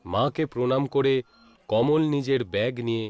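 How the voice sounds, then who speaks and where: A man narrating a scripted horror story in a measured, dramatic storytelling manner. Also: mid-range voice, a little mellow.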